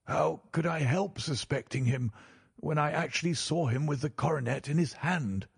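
A man voicing an impatient, stubborn older gentleman banker in a formal, indignant manner for a storybook. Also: a little high, normal speed, gravelly, breathy edge, no echo, clean studio sound, pitched above the performer's own voice.

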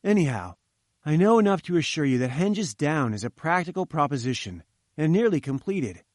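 An upbeat young newspaperman, as a male narrator puts him on, pitched well above the reader's own voice. He speaks briskly and confidingly. The sound is dry and close. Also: big pitch swings, a little mellow, no room sound. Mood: eager.

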